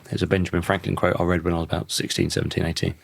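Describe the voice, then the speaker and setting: Man, thirties, croaky, reflective, restarts sentences, very deep voice. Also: level tone, racing, no echo. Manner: thoughtful, restarts sentences often. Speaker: podcast guest. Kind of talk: reflective conversational interview.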